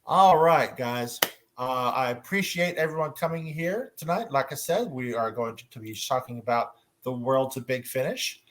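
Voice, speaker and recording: A man moderating a casual panel discussion, often pausing to search for his words. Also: mid-range voice, expressive.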